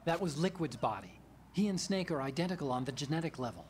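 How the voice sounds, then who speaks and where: An actor playing an earnest male engineer ally who explains things in a measured way. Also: fairly high pitch, big pitch swings, slight background noise.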